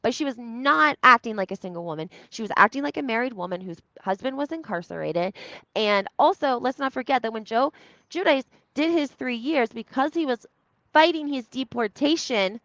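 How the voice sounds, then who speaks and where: Higher-voiced woman recapping in an echoey room. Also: expressive, moderate pace, pushed and bright. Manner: conversational and emphatic, with rhetorical questions and repetition for emphasis. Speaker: reality TV recap commentator. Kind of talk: casual opinionated commentary. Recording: roomy echo, slightly dull lo-fi sound.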